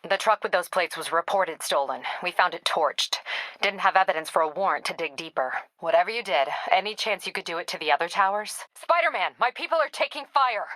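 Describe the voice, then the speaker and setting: A woman playing a tough, wry police captain in a video game, brisk, clipped and dryly sardonic. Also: on the low side, lively intonation, fairly bright tone, no echo. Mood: terse, stressed, then urgent.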